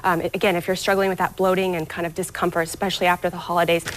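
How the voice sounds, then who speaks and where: A woman's voice: fairly low pitch, level tone, racing, slight background noise. Upbeat woman explaining gut health tips. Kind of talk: TV morning show interview. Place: TV studio.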